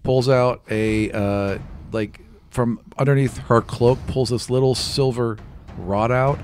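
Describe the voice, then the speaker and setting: A man's voice: mid-range voice, steady tone, slow pace, darkish tone, slight background noise. A man in his thirties improvising casual, vivid narration as a game master, close on a studio microphone. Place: small indoor podcast studio. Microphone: close studio microphone with foam cover. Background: background music.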